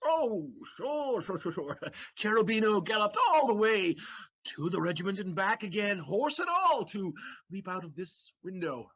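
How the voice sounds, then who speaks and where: A man playing a quick-witted, cheeky manservant in a comic period play, theatrical and given to stammering evasions, on a slightly dull recording. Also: sits high, big pitch swings, a bit bright, husky, exaggerated voice. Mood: flustered. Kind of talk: comic period stage acting.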